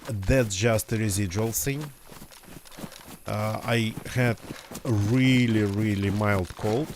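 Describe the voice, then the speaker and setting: A man's voice: lowish voice, slow pace, noisy background. A man streaming a survival game with casual, rambling commentary, his voice a little nasal and congested from a recent cold. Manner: rambling, self-correcting.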